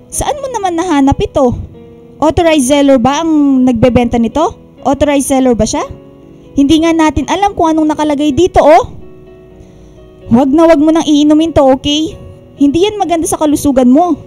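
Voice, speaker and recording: A woman's voice: high-pitched, normal speed, pauses often, dark tone, faint music behind, lightly acted. A woman playing a caring older Filipino mother in a radio drama, fretting and scolding with motherly emphasis.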